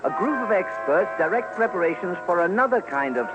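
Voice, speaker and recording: A man's voice: high voice, expressive, a little fast, lo-fi sound. A man narrating a scripted radio documentary in a theatrical, emphatic old-time style. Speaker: commentator, narrator. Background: music.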